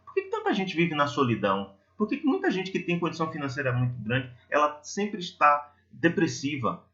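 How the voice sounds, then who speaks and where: A man's voice: a little high, wildly swooping pitch, a little fast, sharp tone, highs cut off. A Brazilian man giving a religious talk in a conversational, didactic way, telling stories and putting reflective questions to his listeners. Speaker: lay preacher at a Spiritist centre.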